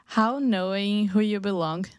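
A woman's voice: medium pitch, unhurried, smooth, no echo, clean studio sound. A young woman from Brazil, a native Portuguese speaker, hosting a casual faith-based radio talk show and explaining things carefully.

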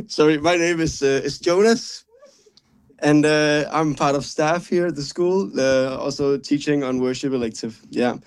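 A man's voice: fairly high pitch, measured pace, clear, resonant tone. Friendly man giving a casual introduction. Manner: friendly, laughs while talking. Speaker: worship team member.

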